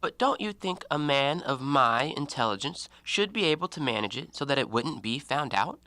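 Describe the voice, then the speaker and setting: Man acting an animated, self-righteous gentleman scholar. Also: mid-range voice, normal speed, no echo. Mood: musing, self-assured. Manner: theatrical, argumentative, expansive speechmaking. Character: talkative, self-righteous, educated gentleman antiquarian. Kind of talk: stage-play acting in an audio drama.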